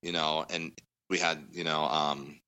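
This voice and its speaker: Deep-voiced man telling a rambling story. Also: level tone, unhurried. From Denver area. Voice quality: loud voice. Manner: rambling storytelling, heavy fillers. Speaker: guest musician. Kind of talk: casual interview chat.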